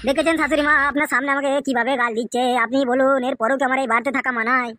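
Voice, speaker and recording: Man voicing a high-pitched, rattling, whining cartoon husband. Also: steady tone, rapid-fire, bright, forceful tone, slight background noise. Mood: aggrieved. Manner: pleading, wailing, excuse-making. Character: whining hard-drinking henpecked village husband, cowardly and sly. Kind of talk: comic Bengali cartoon dialogue.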